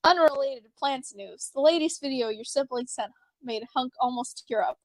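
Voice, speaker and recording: A woman's voice: sits high, expressive, normal speed, no room sound, poor audio. A woman playing a chatty, teasing young friend in an amateur fan fiction reading, with a wry, rambling delivery.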